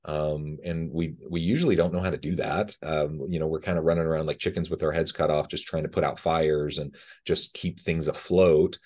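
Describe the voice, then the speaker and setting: A man with a low-pitched voice speaks as a podcast host. His delivery is conversational, as in an interview with a guest. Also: steady tone, fairly quick, a little mellow.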